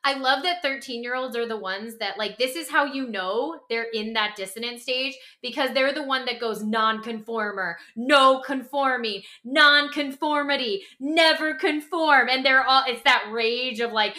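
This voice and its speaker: Woman podcaster, kinda high bright voice, chatty, emphatic, asks rhetorical questions as she explains. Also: a little slow, hardly any pauses. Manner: animated, emphatic, uses rhetorical questions and acted-out quotes. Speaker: podcast co-host. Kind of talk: casual explanatory podcast chat.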